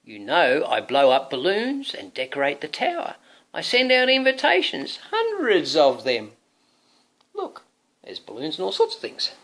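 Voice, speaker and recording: A man voicing a squealing, excitable witch who is bossy and cheery, in a storybook reading. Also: on the high side, measured pace, voice pushed up, heavily acted. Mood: excited, insistent. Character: squealing, cackling old-style witch, bossy but cheery party planner.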